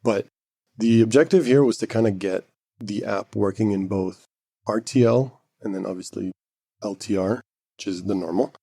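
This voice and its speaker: A man's voice: fairly low pitch, warm tone. A man whose first language is Arabic, co-hosting a casual tech podcast and explaining things step by step with filler words. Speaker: podcast co-host.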